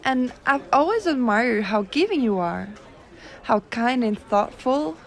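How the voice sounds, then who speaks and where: A woman playing a gentle, teasing giantess secretary in an ASMR roleplay, coaxing and cooing softly. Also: a little high, lively intonation. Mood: tender, reassuring. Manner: soft coaxing, cooing, unhurried.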